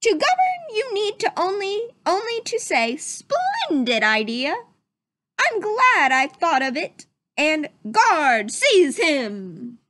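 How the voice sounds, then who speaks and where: A woman's voice: extremely high pitch, wildly swooping pitch, slow, a bit bright, dry recording, voice pushed up, exaggerated voice. A woman voicing a self-assured, bored young prince in a children's storybook, emphatic and playfully commanding. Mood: breezy, instructing. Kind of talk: children's storybook read-aloud.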